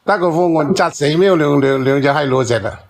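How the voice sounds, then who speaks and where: A man's voice: fairly high pitch, a little fast, a little hiss or hum. A man with a Cantonese accent bantering casually on a call-in panel, insistent, crude and repeating himself.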